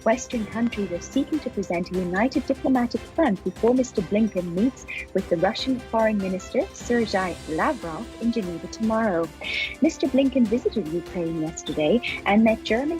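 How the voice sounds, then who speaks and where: A woman presenting the news on a live stream, reading in a measured, even way. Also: mid-range voice.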